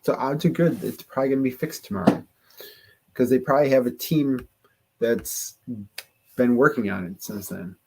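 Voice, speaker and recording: A man's voice: mid-range voice, normal speed, vocal fry, pauses often, mellow, a little hiss or hum, low-quality recording. A man co-hosting a casual podcast chat, laid-back, often laughing and repeating his words. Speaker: podcast co-host.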